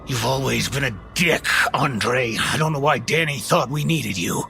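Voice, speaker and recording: A man's voice: medium pitch, moderate pace, vocal fry, sharp tone. An actor playing a smug, cruel man in an audio horror drama, taunting in a sneering drawl. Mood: menacing, bitter. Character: smug, cruel, mocking man bullying his friends. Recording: music under the voice.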